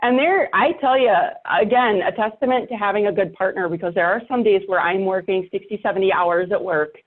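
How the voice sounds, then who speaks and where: A woman's voice: medium pitch, fairly quick. Woman chatting casually over a phone-like line. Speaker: podcast host and writer. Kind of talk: casual chat. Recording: thin, phone-like call audio.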